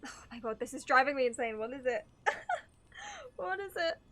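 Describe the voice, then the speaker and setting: A woman with a high, very animated voice streams a game. She chats casually and excitably, giggles a lot and gets sidetracked easily. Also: moderate pace. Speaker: livestreamer reacting to a video game. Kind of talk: casual excited chat while gaming.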